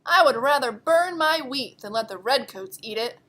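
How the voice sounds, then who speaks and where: Woman acting a bright, high-voiced plucky colonial woman. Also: animated, normal speed, exaggerated voice. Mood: defiant. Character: plucky, defiant colonial women of the American Revolution. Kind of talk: amateur comic read-aloud with character acting.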